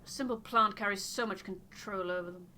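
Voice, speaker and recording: A woman's voice: mid-range voice, fairly quick, sharp tone, slight background noise, lightly acted. A woman voicing a stiff, aloof male scientist in a game, speaking in a formal, clipped, precise way. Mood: haughty, musing.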